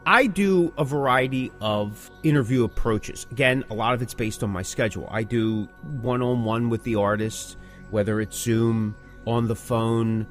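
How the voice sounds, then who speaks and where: A middle-aged man hosting a podcast in casual conversation, opinionated and emphatic, repeating phrases for stress. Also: medium pitch. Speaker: podcast host who interviews musicians.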